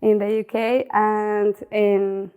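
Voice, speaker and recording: A woman's voice: medium pitch, little pitch movement, slow, smooth, phone-quality sound. A young adult woman from Mexico with a Mexican accent, a native Spanish speaker, talking casually as an interview guest and restarting her English phrases. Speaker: guest, outdoor content creator.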